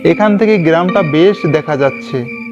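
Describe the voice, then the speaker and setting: A man's voice: on the high side, normal speed, lo-fi sound. A man narrating a scripted ghost story in the first person. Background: background music.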